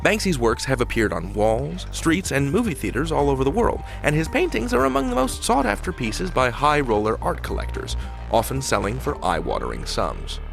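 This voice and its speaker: Animated male announcer with a creaky voice. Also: medium pitch, moderate pace, soft background music. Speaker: podcast announcer and host. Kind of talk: scripted narration.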